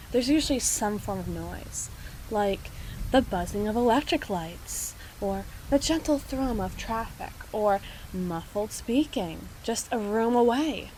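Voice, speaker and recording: A woman playing a proud, anxious, flustered schoolgirl in an ASMR audio roleplay, speaking in halting, formal phrases. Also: on the high side, a bit bright, a little hiss or hum, poor audio. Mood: subdued, uneasy. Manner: halting, prim, formal phrasing with trailing restarts.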